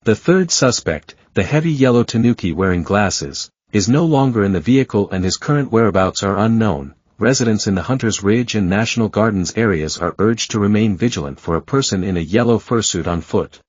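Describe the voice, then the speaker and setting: Male alert announcer, lower register, formal and even delivery, muffled dry broadcast sound. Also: fairly quick, hardly any pauses, dry recording. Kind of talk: scripted emergency bulletin reading. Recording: dull lo-fi dry broadcast audio.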